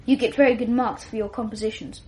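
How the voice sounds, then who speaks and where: A woman's voice: on the high side, fairly even intonation, fast, dark tone, a little hiss or hum, close to an everyday voice, low-quality recording. A woman playing a clever, self-assured twelve-year-old English schoolboy who talks in a precocious, wordy, self-deprecating way, in a radio drama. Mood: reflective. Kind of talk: 1980s radio drama acting.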